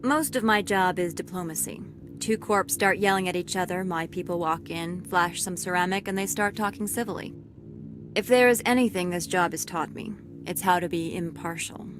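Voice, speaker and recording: An actress playing a stern, fair-minded security captain and ex-marine in a video game, formal, measured and dry. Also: female voice, on the low side, over music, natural voice. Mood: dry, matter-of-fact. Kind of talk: scripted video game acting.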